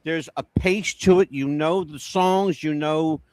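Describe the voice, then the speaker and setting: High-voiced chatty male host. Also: measured pace, a little mellow, smooth, no room sound. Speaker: podcast host. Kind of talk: casual interview chat.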